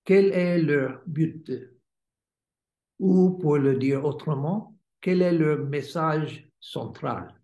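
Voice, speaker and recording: An American man, a native English speaker, reads a spiritual lecture in careful, hesitant French with many pauses. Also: fairly high pitch, warm tone, highs cut off. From United States. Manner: halting, many hesitations while reading. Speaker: swami giving a lecture. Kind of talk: read-aloud spiritual lecture. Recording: roomy echo.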